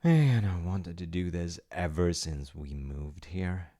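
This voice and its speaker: A man playing a polite, eager wizard fiance in an ASMR audio roleplay, with theatrical delivery and soft muttered asides. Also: sits low, takes it slowly. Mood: muttering to himself. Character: eager, secretly magical wizard fiance running an enchantment business.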